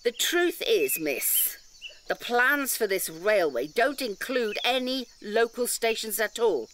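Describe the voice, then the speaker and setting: A woman's voice: fairly high pitch, expressive, takes it slowly, a bit bright, slight background noise. A woman playing an indignant nature-reserve campaigner in an audio drama, lecturing emphatically with pedantic detail and rhetorical questions. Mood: wound up.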